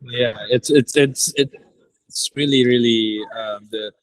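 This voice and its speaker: A man speaking casually in an interview as a director, halting and repeating words for emphasis. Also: medium pitch, fairly even intonation, no room sound, low-quality recording. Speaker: director interviewed about an audio drama.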